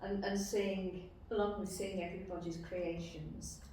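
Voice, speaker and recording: A woman with a soft-edged, mellow voice of middling pitch talks casually in a group. She sounds distant, in a heavily reverberant room with faint noise. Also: flat delivery, a little slow.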